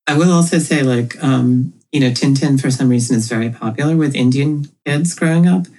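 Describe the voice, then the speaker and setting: A woman's voice: very deep voice, fairly quick, mellow. A woman comic creator, raised in Ethiopia, talking thoughtfully as a podcast guest and laughing often. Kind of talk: casual podcast interview.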